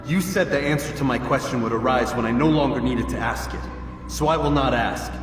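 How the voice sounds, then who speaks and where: An actor playing a grave, blunt young assassin in a video game, with a stern, terse, challenging delivery. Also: male voice, mid-range voice, fairly quick, natural voice. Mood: resentful, demanding. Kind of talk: dramatic video game acting. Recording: distant, very echoey hall, music behind.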